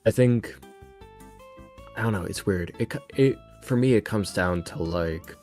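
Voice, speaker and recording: A man's voice: on the low side, a little slow, a little mellow, faint music behind. A man speaking as a podcast guest in a casual, reflective way, hesitant and self-deprecating as he rambles candidly. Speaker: podcast guest, ASMR roleplay audio creator. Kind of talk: casual reflective interview answers.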